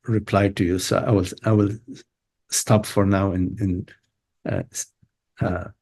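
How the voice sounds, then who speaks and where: An older man leads a satsang over a video call, his speech wandering and full of pauses and fillers. His voice is low and husky. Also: flat delivery, a little mellow. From Lebanon. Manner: meandering, halting, many fillers. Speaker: spiritual teacher. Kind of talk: satsang talk.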